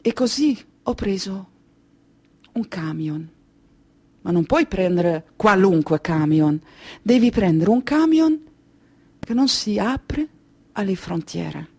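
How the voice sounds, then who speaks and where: Woman acting a haunted refugee woman, theatrical monologue, slow pace, weary, emotive, short broken phrases, mid-pitched voice. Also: expressive, dark tone, breathy edge, heavily acted. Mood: tense recounting. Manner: deliberate, emotive, pausing between short phrases. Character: Kurdish refugee woman, weary, haunted, recounting her flight.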